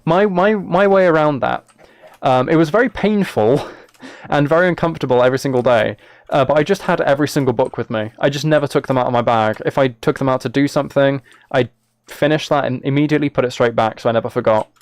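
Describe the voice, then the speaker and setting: A man's voice: mid-range voice, fast talker, dry recording. A young British man chatting casually on a gaming livestream, with a wry, playfully exasperated way of talking. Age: late teens to early 20s. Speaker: live streamer.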